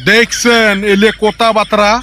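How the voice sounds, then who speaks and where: A man voicing an exasperated, teasing cartoon village husband with a Rajbongshi Bengali accent and an artificially raised pitch. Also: little pitch movement, a little slow, very noisy. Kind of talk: comic cartoon dialogue. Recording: music behind voice.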